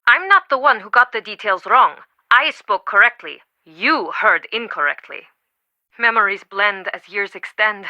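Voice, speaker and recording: An actress playing an earnest, overwhelmed museum curator in scripted video game dialogue. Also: female voice, mid-range voice, lively intonation, measured pace, pushed and bright, no room sound, muffled recording. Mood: insistent. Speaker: video game character voice actor.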